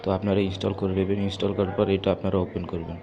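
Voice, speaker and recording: A man's voice: deep voice, level tone, very fast, muffled recording, crowd noise. A man with a Bangladeshi accent presenting an informal step-by-step app tutorial, repeating himself as he demonstrates.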